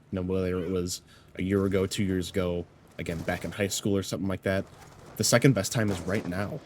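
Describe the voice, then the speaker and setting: A man's voice: deep voice, darkish tone, a little hiss or hum. A man of twenty-four who is a little sick and congested, talking earnestly and reflectively in a personal vlog, rambling with lots of "you know" fillers. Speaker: YouTuber.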